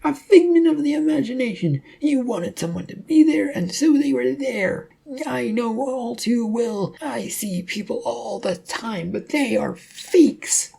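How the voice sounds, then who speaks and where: A storybook town selectman, acted out by a man reading, lost in delusion and defiant about it. The voice is pushed well up high and proclaims everything with grandiose, theatrical importance. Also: lively intonation, a little slow, vocal fry, few pauses, mellow, husky, exaggerated voice. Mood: insistent, haunted. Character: deluded, defiant male town selectman playing preacher.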